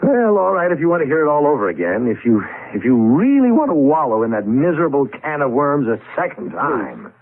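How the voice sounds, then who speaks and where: A disillusioned older partner in a 1970s radio play, sardonic and emphatic. His voice is rough and breathy, with very wide swoops in pitch. It sounds boxed-in, like vintage radio. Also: sing-song, a little fast, hardly any pauses. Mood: disgusted, sardonic. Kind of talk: 1970s radio drama acting. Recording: muffled vintage radio broadcast.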